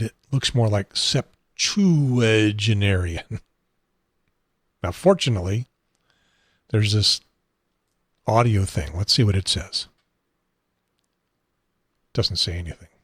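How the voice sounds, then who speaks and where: A seventy-year-old man with an American accent and a husky, unsteady voice, hosting a casual unscripted talk show in a rambling, halting, wry way. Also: fairly low pitch, no room sound, clean studio sound.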